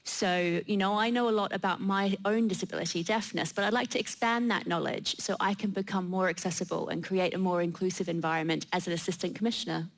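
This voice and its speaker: A woman's voice: lowish voice, fairly even intonation, fast, few pauses, low-quality recording. A woman speaking as a panelist at a live event, giving a considered personal statement. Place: radio theatre stage.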